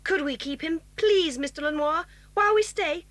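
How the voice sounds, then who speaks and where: A woman's voice: very high voice, normal speed, fairly bright tone. An actress with a British accent playing an eager, polite schoolgirl in a children's audio drama.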